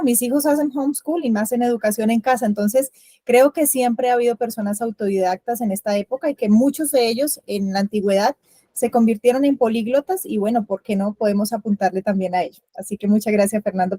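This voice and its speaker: A woman hosting an interview, speaking in a measured, explanatory way as she welcomes her guest. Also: a little high, warm tone, dry recording. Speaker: host.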